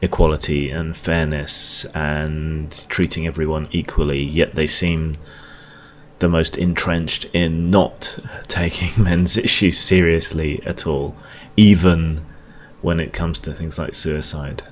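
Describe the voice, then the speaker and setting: Weary, halting deep-voiced man. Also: steady tone, a little hiss or hum. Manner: weary, halting, frequent ums and restarts. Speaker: video blogger. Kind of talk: unscripted reaction monologue.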